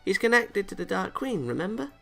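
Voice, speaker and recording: Man playing a huffy young anime angel girl, visual novel, raised pitch, gravelly edge. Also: big pitch swings, a little fast, fairly bright tone, soft background music, full character voice. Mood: insistent. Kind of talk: visual novel character reading.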